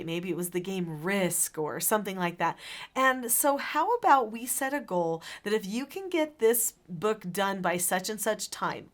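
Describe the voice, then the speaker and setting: A middle-aged woman giving instructional advice to viewers as a parenting coach, emphatically stressing key words. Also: medium pitch.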